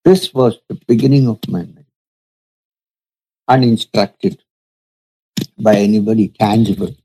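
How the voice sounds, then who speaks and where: A man, a spiritual teacher, telling a story from his past in a slow, measured, reflective way during an interview. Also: on the low side, warm tone, slightly rough, low-quality recording. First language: Urdu.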